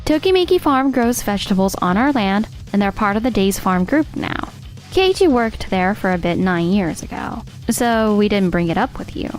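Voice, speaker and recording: A woman's voice: medium pitch, expressive, moderate pace, soft background music, natural voice. A woman playing a composed, loyal farmer's wife in a manga dub, speaking plainly.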